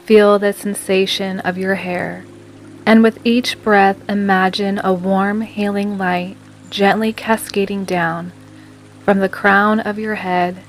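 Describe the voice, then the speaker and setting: Female meditation guide, medium pitch, very unhurried soothing scripted read over music. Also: flat delivery, low-quality recording. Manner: slow, soothing, measured delivery with long pauses. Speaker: meditation guide and podcast host.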